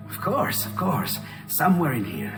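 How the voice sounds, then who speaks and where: An eager Renaissance inventor with an Italian accent, his pitch swinging freely. He is cheerful and curious, a game character heard in a long reverberant space with music behind him. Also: huge pitch swings, normal speed. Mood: eager, helpful. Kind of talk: dramatic video game acting.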